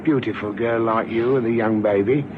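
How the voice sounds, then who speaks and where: An actor in an old radio drama playing a nervous, well-meaning caretaker who stammers hesitantly. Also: male voice, lowish voice, fairly even intonation, moderate pace, natural voice. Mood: coaxing, anxious. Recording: muffled old radio recording, faint noise.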